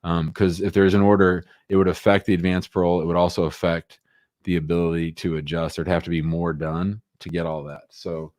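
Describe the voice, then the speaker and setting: A man's voice: sits low, level tone, a little fast, a little mellow. A man hosting a live call-in advice show, chatting casually and giving short, informal, reassuring answers. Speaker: host answering immigration law questions.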